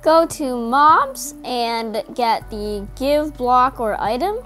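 A woman's voice: sits high, lively intonation, slow pace, a bit bright. A woman narrating a step-by-step coding tutorial in a plain, instructing voice-over. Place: voice-over over screen recording. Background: faint background music.

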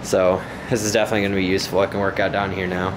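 A man's voice: low-pitched, level tone, racing, background noise. A man giving casual, unscripted vlog narration in an informal, hesitant way, as if thinking aloud. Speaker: home gym vlogger. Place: concrete basement home gym, indoors. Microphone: handheld camera mic, operated by speaker.

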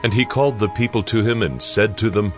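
A man's voice: lowish voice, brisk, over music. A man narrating scripture from a script.

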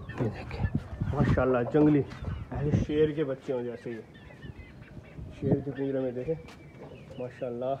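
A young man vlogging his poultry yard on a phone held at arm's length, chatting and calling out loudly to his birds. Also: mid-range voice. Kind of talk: casual selfie vlog. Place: rooftop poultry yard outdoors, light rain. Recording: dull phone audio with outdoor noise.

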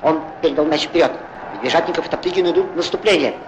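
A man playing an excitable, good-humoured football commentator in a 1940s cartoon, chatty and theatrical, on a noisy old film soundtrack. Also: a little high, very fast, lo-fi sound, close to an everyday voice. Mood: lively, engaged. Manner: chatty, theatrical, exclaiming, correcting himself. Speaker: sports commentator.

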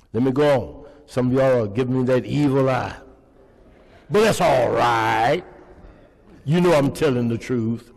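Older pastor preaching slowly in a church. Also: male voice, mid-range voice, gravelly. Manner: preaching with call-and-response questions to the congregation. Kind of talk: sermon. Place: large church sanctuary, indoors. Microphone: pulpit microphone. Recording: long reverb of a church hall.

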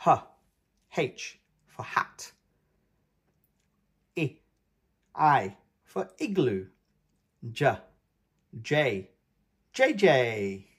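Animated, slightly husky man reading to toddlers. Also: mid-range voice, extremely slow, long pauses. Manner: animated, emphatic, sing-song delivery of letters and words. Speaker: children's read-aloud channel host. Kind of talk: read-aloud for toddlers.

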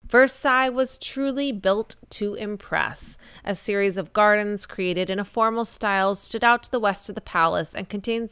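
A woman's voice: medium pitch, a bit bright, clear, resonant tone, dry recording, studio quality. A woman hosting a cleaning vlog, narrating a history story in a wry, playful scripted voice-over. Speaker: vlog host.

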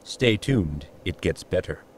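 A man voicing a pedantic, deadpan android scholar in a sci-fi comedy audio drama. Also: deep voice, takes it slowly, exaggerated voice.

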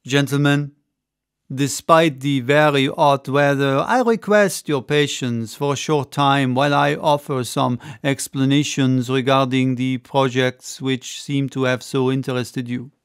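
A man's voice: medium pitch, fairly even intonation, measured pace, smooth, close to an everyday voice. A man voicing a cheerful, confident French adventurer giving an emphatic public speech in a storybook. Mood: courteous, modest. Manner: oratorical, emphatic. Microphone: close studio microphone on desk.

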